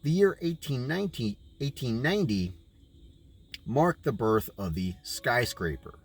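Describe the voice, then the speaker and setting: A man reading a book aloud as a narrator, in a deliberate way with informal asides. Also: medium pitch, animated, breathy edge.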